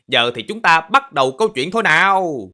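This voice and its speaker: Higher, animated storytelling cartoon boy. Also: normal speed, loud music behind the voice. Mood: cheerful. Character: animated boy telling stories to his friends.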